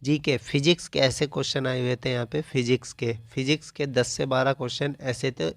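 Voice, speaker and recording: A man's voice: medium pitch, flat delivery, moderate pace, no echo. A man explains like a coaching teacher in an informal analysis, often restating his phrases.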